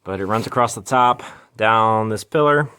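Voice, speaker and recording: A man's voice: on the low side, moderate pace, sharp tone. A man hosting a casual DIY garage vlog, talking informally through a hands-on job as he works. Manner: informal, talks while working. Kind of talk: casual hands-on how-to explanation.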